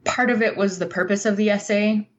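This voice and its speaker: A woman giving a reflective, conversational interview as a guest writer, speaking candidly and informally in a halting way over muffled audio. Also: medium pitch, fairly even intonation.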